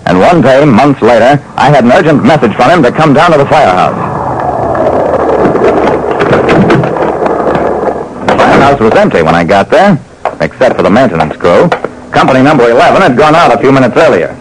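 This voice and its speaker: A man's voice: medium pitch, big pitch swings, close to an everyday voice. A man playing a hard-boiled private detective, narrating his cases dramatically in an old-time radio show. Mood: measured narration. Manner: dramatic, deliberate. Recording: noisy old radio recording.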